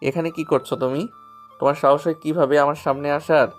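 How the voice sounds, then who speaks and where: A man's voice: a little high, steady tone, a little fast, faint music behind, lightly acted. A man playing a proud, betrayed young lover in a romantic audio story. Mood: angry, hurt. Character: angry betrayed young male lover, proud but softening.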